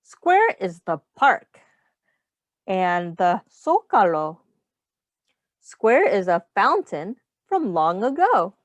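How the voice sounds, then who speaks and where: Slow, very lively woman reading to children. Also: medium pitch, dry recording. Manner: didactic, simple phrasing with pauses for questions. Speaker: teacher reading aloud to young children. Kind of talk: picture-book read-aloud with explanations and questions.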